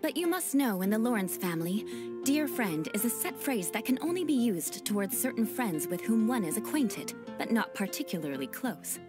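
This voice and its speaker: A proud young noblewoman of a fallen aristocratic family in a fantasy game, speaking in stiff, formal, lecturing phrases. Her voice is fairly high with a lively, rising and falling lilt, over loud game music. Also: normal speed. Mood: explaining.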